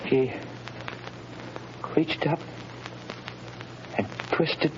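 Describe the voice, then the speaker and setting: An actor playing a weary young condemned prisoner in an old radio crime drama, subdued and faltering, on a dull, noisy vintage recording. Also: male voice, on the high side, drawn-out words. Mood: strained, faltering.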